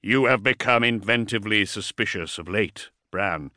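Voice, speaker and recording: A man voicing a towering, grave warlord in an audiobook, with formal, measured authority. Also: on the low side, pushed and bright, no room sound, studio quality.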